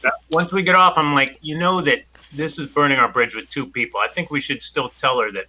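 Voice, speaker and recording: A man's voice: fairly high pitch, fairly quick, sharp tone, a little hiss or hum, poor audio. A man chatting casually as a player in a tabletop role-playing game.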